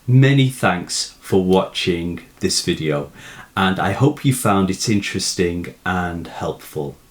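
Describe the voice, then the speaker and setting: A man giving a scripted educational narration on literature, speaking in measured, deliberate phrases. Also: deep voice, expressive. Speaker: literature teacher presenting poem analysis.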